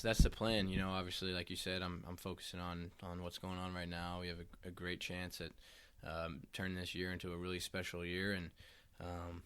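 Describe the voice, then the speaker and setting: A young man, a college basketball player, answering interview questions in a halting way, often repeating words as he goes. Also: sits low, fairly bright tone, clean tone. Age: young adult.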